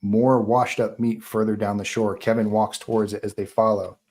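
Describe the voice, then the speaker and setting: Low-voiced man narrating a screenplay. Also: little pitch movement, normal speed, darkish tone. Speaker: narrator reading stage directions. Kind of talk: live screenplay table read.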